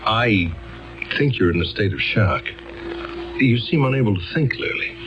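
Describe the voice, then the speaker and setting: An actor playing an earnest, exasperated male defense lawyer in a radio drama, courteous but halting and stammering. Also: lowish voice, sing-song, muffled recording, faint music behind. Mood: flustered, coaxing. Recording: band-limited old radio drama recording.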